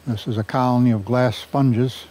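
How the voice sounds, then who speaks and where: An older man giving a garden tour outdoors, talking in a folksy, unhurried way on a lapel mic. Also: medium pitch, fairly even intonation, a little mellow. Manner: folksy, unhurried, chuckles at own jokes. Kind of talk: casual storytelling tour.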